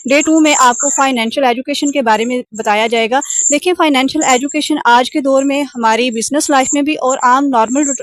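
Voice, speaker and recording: Female sales coach, higher-pitched voice, closely dry sound, explanatory and wordy call pitch. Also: brisk, hardly any pauses, no echo, poor audio. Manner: wordy, instructive, mixes in many English terms. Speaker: sales coach pitching an online training course.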